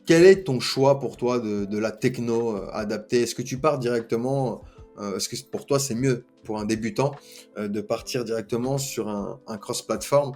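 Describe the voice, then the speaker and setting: A man's voice: mid-range voice, normal speed, darkish tone, faint music behind. A man hosting a tech interview, asking long, hesitant questions full of fillers. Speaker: podcast host, interviewer.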